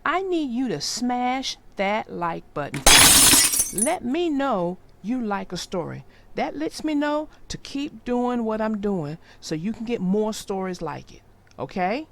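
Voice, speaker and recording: A woman hosting and narrating a read-aloud for young children, speaking deliberately and expressively to her listeners. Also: fairly high pitch, animated, vocal fry, background noise. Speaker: children's story narrator and channel host. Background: music.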